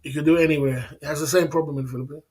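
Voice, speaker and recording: A man's voice: on the high side, steady tone, very fast. A middle-aged man from England, hosting a casual livestream and talking in a boastful, repetitive way. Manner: repetitive, boastful, acts out dialogues. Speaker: livestream host.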